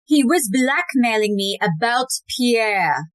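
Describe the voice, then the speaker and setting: A woman's voice: a little high, very animated, takes it slowly, no room sound. A woman playing a cold, menacing, commanding society wife turned murderer in an audio play.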